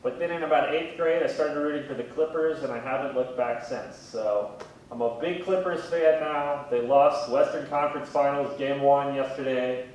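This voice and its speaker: A man in his thirties chatting casually in an interview, with a wry, gently self-deprecating way of talking. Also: normal speed. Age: 30s. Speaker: museum communications specialist, interviewee. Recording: very echoey room, distant mic, faint rumbling noise.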